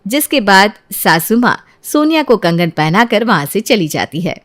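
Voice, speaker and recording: A woman's voice: mid-range voice, sing-song, normal speed, fairly bright tone. A woman reading a scripted family story as its narrator.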